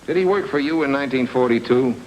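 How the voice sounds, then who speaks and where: An actor playing a hard-boiled, sardonic private investigator in a noir radio drama, with dry slangy wisecracks. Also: male voice, mid-range voice, fast, highs cut off, slight background noise, close to an everyday voice. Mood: probing.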